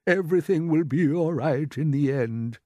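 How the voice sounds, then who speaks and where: A kindly old grasshopper from a storybook, the calm and cheerful leader of his friends. He talks in a soothing, slightly grand way, and his voice swings widely up and down, as a reader puts him on. The sound is close and dry. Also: medium pitch, normal speed, no room sound, pitched above the performer's own voice. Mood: reassuring.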